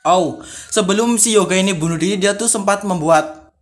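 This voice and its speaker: A man's voice: high voice, normal speed. A man hosting a casual vlog, reading stories aloud and adding informal commentary in his own words. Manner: informal, reads aloud then paraphrases and reacts. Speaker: video creator, host.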